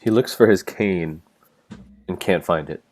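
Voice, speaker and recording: Male narrator for stage directions, low register, scripted play reading. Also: unhurried, a little mellow.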